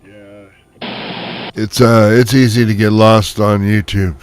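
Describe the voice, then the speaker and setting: A man's voice: on the low side, little pitch movement, takes it slowly, very noisy. A man chatting and telling stories casually and wryly over CB radio. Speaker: CB radio operator. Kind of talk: casual chat, storytelling. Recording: CB radio audio with background sound.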